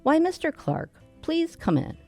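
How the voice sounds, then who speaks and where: An actress playing a frail, genteel but stubborn old widow in a radio drama. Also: female voice, sits high, sing-song, measured pace, gravelly, mellow, faint music behind, full character voice. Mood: bewildered, distressed.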